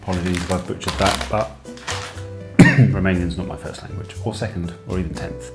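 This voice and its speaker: A man's voice: low-pitched, steady tone, fairly quick, creaky. A man co-hosting a casual snack-tasting chat at home, hesitant and chatty with lots of ums. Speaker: co-host. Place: home room. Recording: echoey room with background music.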